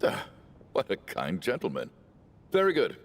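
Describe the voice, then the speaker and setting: A man's voice: on the low side, huge pitch swings, normal speed, creaky, a little hiss or hum, exaggerated voice. A man playing a courteous, old-fashioned family man in a video game, with formal, genteel speech.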